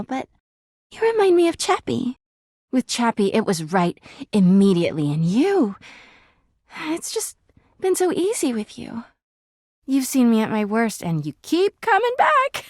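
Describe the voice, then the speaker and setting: A woman's voice: high voice, no room sound, studio quality, heavily acted. A woman voicing a clingy, jealous, melodramatic girlfriend character in a video game, with a whiny, volatile manner. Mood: earnest, smitten. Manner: dramatic, whiny, emotionally volatile. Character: clingy, jealous, melodramatic female living household object, toxic girlfriend.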